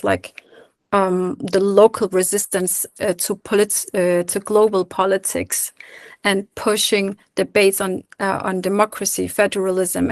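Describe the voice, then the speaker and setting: An earnest young woman talks on a video call for a webinar, pausing often with ums and restarting her sentences. Her voice has a gravelly fry, and the sound is dry and close. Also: mid-range voice, no echo. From Kurdistan region of Iraq. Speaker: webinar panelist, Kurdish diaspora activist. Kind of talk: webinar discussion.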